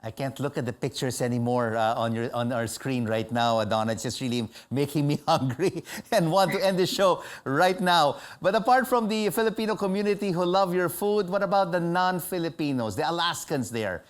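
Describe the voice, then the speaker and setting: A woman's voice: sits low, moderate pace, hardly any pauses. A woman hosting a news interview, asking questions in a chatty way with many hesitations. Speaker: news show host. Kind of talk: broadcast interview.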